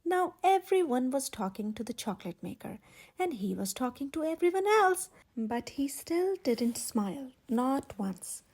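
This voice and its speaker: A woman reads a picture book aloud for children in a high voice that swoops with expression. Her tone has a breathy edge, and she leans on key words for dramatic effect as a storytime host. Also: big pitch swings, measured pace, a little mellow. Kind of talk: animated children's read-aloud narration.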